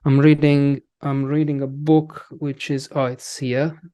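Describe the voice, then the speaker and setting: Slow, halting Italian man. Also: mid-range voice, flat delivery, dark tone, dry recording. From Italy. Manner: slow, halting, many restarts and fillers. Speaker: guest, English teacher. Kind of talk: casual interview.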